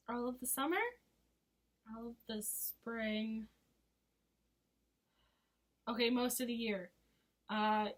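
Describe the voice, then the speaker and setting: A woman in her twenties, hoarse from losing her voice, chatting casually in a rambling, giggly vlog. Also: high-pitched, a bit bright. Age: 20s. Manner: rambling and giggly, full of fillers. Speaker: vlogger. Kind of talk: casual chat to camera.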